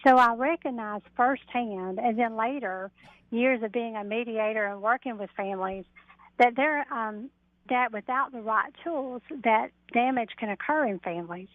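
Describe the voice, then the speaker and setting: A woman's voice: on the high side, moderate pace, no echo. Middle-aged woman on a phone line. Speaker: interview guest, mediator and coach. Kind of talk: radio interview, explaining. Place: phoned into a radio show. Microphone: telephone. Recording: narrow telephone line.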